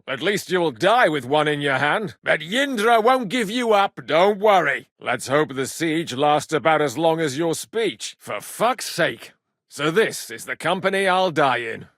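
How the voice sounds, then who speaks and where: Medieval mercenary, gruff, sardonic, earthy, hard-drinking, very lively intonation, dry studio sound, video game dialogue. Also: male voice, medium pitch, no echo. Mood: bitter, sardonic. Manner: earthy, blunt, swearing, wry.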